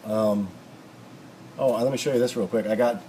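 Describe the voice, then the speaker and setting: A man's voice: on the low side, fairly even intonation, normal speed, warm tone, rough recording. A man giving an unscripted, casual workshop tutorial, rambling and thinking aloud in a folksy way as he works. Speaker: hobbyist restorer demonstrating repairs. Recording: clear background noise, home workshop video.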